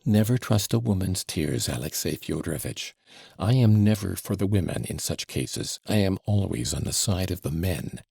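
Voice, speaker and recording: A man's voice: low-pitched, normal speed, gravelly, breathy edge, dry recording, studio quality, full character voice. A man doing a gushing, flustered society mother. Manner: breathless, excitable, exclamatory.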